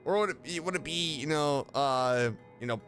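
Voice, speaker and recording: A man hosting a casual reaction video as a game fan, talking in an enthusiastic, expressive way. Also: on the high side, normal speed. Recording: music behind.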